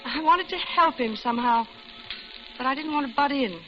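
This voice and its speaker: An actress plays a lonely, genteel American widow of middle age, confiding her story with dramatic pacing. It is old radio suspense acting. The recording is dull and dated, with music clearly behind her. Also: sits high, moderate pace, natural voice. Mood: uneasy, reflective. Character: lonely older widowed office worker recounting her doom. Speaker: narrator and lead character.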